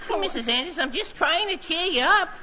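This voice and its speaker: A man's voice: extremely high pitch, animated, a little fast. A young male actor playing an oblivious, morbidly melodramatic teenage sidekick in 1940s radio comedy style. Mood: cheerfully morbid. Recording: very noisy, dull 1940s radio broadcast.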